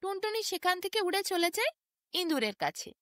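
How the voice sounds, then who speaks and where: A woman narrating a children's bedtime story in an expressive, scripted style. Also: very high voice, brisk, dry recording, studio quality.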